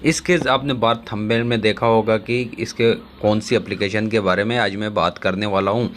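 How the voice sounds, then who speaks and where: A man's voice: lowish voice, steady tone, moderate pace, slight background noise. A man presenting a casual app tutorial as a YouTube host, chatting to viewers as friends.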